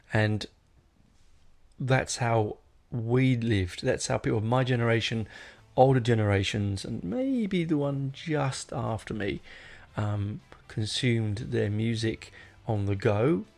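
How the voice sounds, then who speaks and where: A middle-aged man chats solo as a podcast host, with an average-pitched voice full of gravelly creak. He talks off the cuff, drifting into asides and rewording himself as he goes. Music plays behind him. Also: darkish tone. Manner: rambling, digressive, self-correcting. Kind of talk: casual unscripted solo podcast chat.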